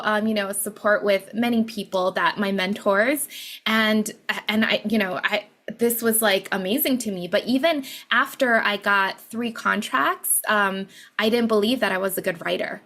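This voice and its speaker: A woman's voice: a little high, a bit bright. A woman from Hawaii who speaks English as a second language, a guest author explaining her ideas in an encouraging, chatty way with frequent "you know" and "right?". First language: not English. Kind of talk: conversational podcast interview.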